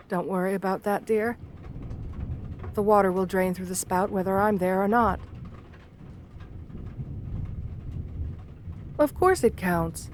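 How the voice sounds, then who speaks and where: A woman voicing a shy, lonely, romantic gargoyle girl in a gentle ASMR roleplay, speaking tenderly with formal, bookish wording. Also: mid-range voice, a little slow, pauses often, slight background noise, close to an everyday voice. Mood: reassuring, playful. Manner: tender, soft-spoken, formal old-fashioned wording.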